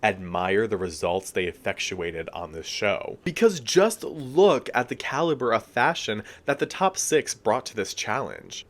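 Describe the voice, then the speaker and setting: A man talks through a review in a chatty, expressive way. He fills his talk with casual asides and filler words. His voice sits fairly low. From United States. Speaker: video reviewer. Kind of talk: casual commentary.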